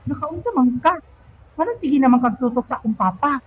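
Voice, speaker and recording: Actress as teasing student pal in radio play, slightly high voice, Filipino accent, dull recording. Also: female voice, lively intonation, a little fast, over music. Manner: breezy, teasing student banter. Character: chatty, teasing teenage girl student, best friend. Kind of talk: amateur radio drama acting. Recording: muffled lo-fi recording.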